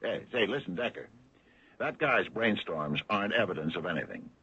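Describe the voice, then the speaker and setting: A man's voice: on the low side, animated, normal speed, sharp tone, slightly rough, exaggerated voice. A man playing a skeptical, loyal small-town American sheriff in a radio drama, folksy and a little hesitant. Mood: sardonic. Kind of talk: 1980s radio drama acting. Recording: dull, noisy old radio broadcast.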